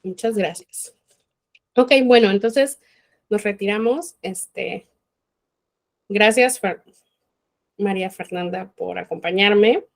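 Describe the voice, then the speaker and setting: Slow-spoken woman lecturing. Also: medium pitch, vocal fry. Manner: conversational, many fillers like "este". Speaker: law lecturer. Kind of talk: informal university talk.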